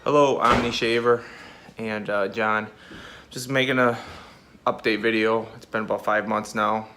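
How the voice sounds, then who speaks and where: A man from Milwaukee giving a quick, upbeat casual update video. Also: on the low side, steady tone, pushed and bright, slight background noise. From Milwaukee, Wisconsin. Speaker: customer giving a testimonial.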